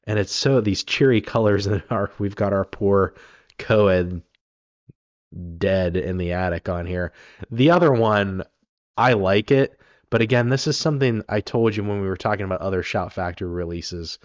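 A man with a very deep voice, rough and raspy from a cold, talks through a film discussion in a loose, rambling way. The sound is dry and a little muffled, with no room around it. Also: normal speed, no room sound. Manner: rambling, many ums and restarts. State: raspy from being sick. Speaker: podcast co-host.

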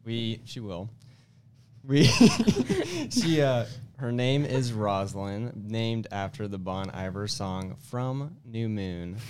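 A man's voice: lowish voice, lively intonation, takes it slowly, darkish tone. A man in his twenties, a guest coworker in a casual chat, joking and bursting into laughter. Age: 20s.

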